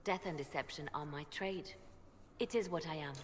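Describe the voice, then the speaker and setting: Woman acting a ruthless female spymaster, low pitch, measured, commanding, video game drama, long reverberant tail. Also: lightly acted. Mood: grim, resolved. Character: ruthless, resolute spymaster woman, cold and efficient. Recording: long reverb, clear background noise.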